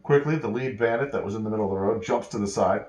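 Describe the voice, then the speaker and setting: A man improvising a bedtime story as narrator, pausing and correcting himself as he goes. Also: lowish voice, darkish tone, slight background noise. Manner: pauses and self-corrections while telling. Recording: echoey room.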